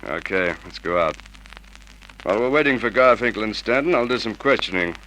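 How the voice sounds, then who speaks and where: Deep, gruff police sergeant. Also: male voice, expressive, a little fast, sharp tone. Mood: skeptical, questioning. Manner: blunt, businesslike, questioning. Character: gruff, brisk male homicide police sergeant. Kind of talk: 1950s radio detective drama acting. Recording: noisy vintage radio drama recording.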